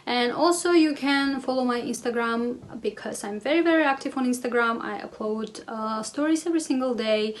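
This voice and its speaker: Chatty woman in her twenties, English. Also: on the high side. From Russia. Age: 20s. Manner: chatty, upbeat, hesitant non-native phrasing. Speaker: vlogger. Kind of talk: casual talk to camera and cooking voice-over. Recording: roomy sound with faint music behind.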